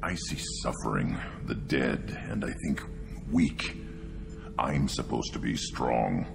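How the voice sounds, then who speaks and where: A hulking alien brute from a video game, with a cavernously low voice thick with guttural gravel. He speaks slowly in blunt, brooding fragments, full of scorn for weakness, over clear background music. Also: expressive, exaggerated voice. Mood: brooding, contemptuous. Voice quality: guttural, growling. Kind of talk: video game dialogue acting.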